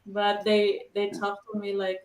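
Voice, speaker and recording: A woman with a Filipino accent talks casually, her English loose and broken in a friendly way. Her medium-pitched voice rings in a roomy, echoey space. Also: monotone, unhurried, recorded from a distance, a crowd in the background. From Philippines. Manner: chatty, broken English phrasing. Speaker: guest in a group chat. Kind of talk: casual chat.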